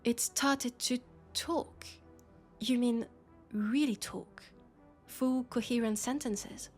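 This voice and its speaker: A woman with a French accent playing a worried, exasperated female police colleague and roommate in an intimate sci-fi audio roleplay, speaking softly and hesitantly. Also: on the high side, takes it slowly, soft background music. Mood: uneasy, hesitant.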